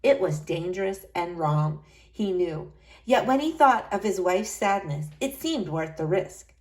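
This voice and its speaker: A woman reading a fairy tale aloud as an expressive storyteller. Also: lowish voice, unhurried.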